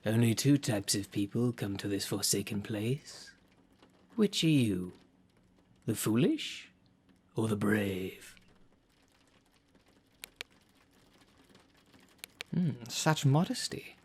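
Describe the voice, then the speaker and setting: A man's voice: lowish voice, animated, takes it slowly, a little mellow, a little hiss or hum. A man playing a theatrical demon caretaker in an audio roleplay, formal and grandiose, with a dry sardonic salesman's manner. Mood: grandly menacing. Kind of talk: scripted audio roleplay, addressing the listener.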